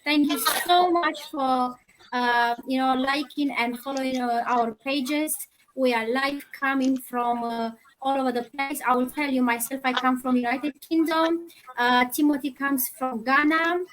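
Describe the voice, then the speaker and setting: High-voiced woman host. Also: steady tone, fairly bright tone, slight background noise, poor audio. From Romania. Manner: halting, heavy on fillers like "you know". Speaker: livestream host. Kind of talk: live online panel chat.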